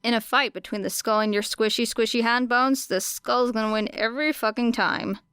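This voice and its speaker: A woman narrator plays a cocky young female street fighter in an audiobook. She talks bluntly and casually, like a teasing coach. The voice is clear and smooth, in a dry, close recording. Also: on the high side, steady tone, normal speed, a bit bright, dry recording, lightly acted. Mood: brisk, instructive. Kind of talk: audiobook character dialogue.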